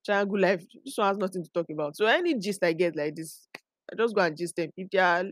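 A woman with a Nigerian accent and a deep voice hosts a podcast, talking casually and emphatically to listeners, often repeating phrases for effect. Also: expressive, fast talker, a little hiss or hum. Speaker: podcast host. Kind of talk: casual conversational monologue to listeners.

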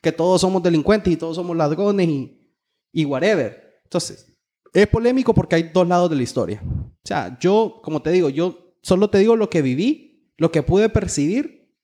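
A man's voice: sits high, lively intonation, moderate pace, dark tone. A man with a Honduran accent chatting casually as a podcast co-host, swearing and using plenty of slang. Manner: profane, slangy. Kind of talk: casual chat.

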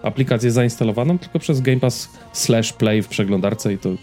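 A man's voice: medium pitch, normal speed, darkish tone, soft background music. A man co-hosting a podcast, chatting casually about tech and games. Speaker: podcast co-host. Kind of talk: casual tech and gaming chat.

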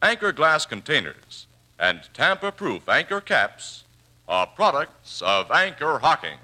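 A man's voice: a little high, sing-song, unhurried, bright, forceful tone. An American man announcing sponsor messages and credits in a formal, declamatory 1940s radio style. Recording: vintage 1940s radio broadcast with music.

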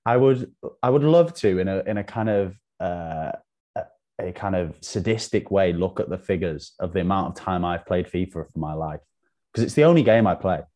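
A man's voice: deep voice, lively intonation, normal speed, dark tone. A young man from North Wales, a podcast guest, talking casually and laughing at himself. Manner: laid-back, self-deprecating, laughs often. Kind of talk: casual chat.

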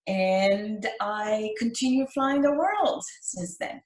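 Interview guest: older woman from Cuba, medium pitch, measured storyteller, recorded with noticeable room echo. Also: animated, slight background noise. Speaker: interview guest, retired flight attendant. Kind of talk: recounting life story in casual interview. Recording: echoey, roomy sound.

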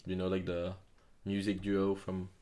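Young man, from France, low-ish voice, rambling, lots of fillers. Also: completely flat delivery, unhurried, mellow. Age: young adult. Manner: rambling, filler-heavy storytelling. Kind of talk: casual podcast chat.

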